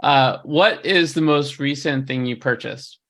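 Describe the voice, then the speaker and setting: A man's voice: mid-range voice, measured pace, a bit bright. A man co-hosting a podcast, chatting casually and teasing the guest. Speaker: podcast co-host. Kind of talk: casual chat.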